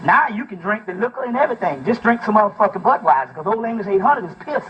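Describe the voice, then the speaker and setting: High-pitched man, profane emphatic lecturer. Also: animated, fast, muffled recording. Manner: profane, emphatic, preaching with rhetorical questions. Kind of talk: fiery informal lecture, reading and explaining a text aloud.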